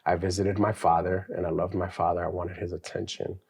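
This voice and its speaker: A man co-hosting a faith podcast, sharing his childhood story in a casual, animated way with some slang. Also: low-pitched, fairly quick, vocal fry. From New York. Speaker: podcast co-host. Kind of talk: casual testimony chat.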